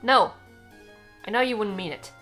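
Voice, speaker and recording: A woman's voice: mid-range voice, moderate pace, bright, forceful tone, soft background music, natural voice. A woman in a fan dub voicing a guarded, defiant young alien girl with casual, sarcastic phrasing. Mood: wary. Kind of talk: fan-dub voice acting of comic dialogue.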